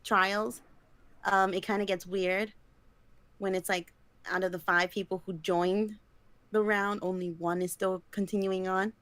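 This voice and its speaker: A woman's voice: mid-range voice, flat delivery, measured pace, a bit bright, no echo. Chatty young woman, rambling with lots of fillers. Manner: chatty and rambling, lots of likes and ums, giggly. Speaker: podcast co-host. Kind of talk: casual chat.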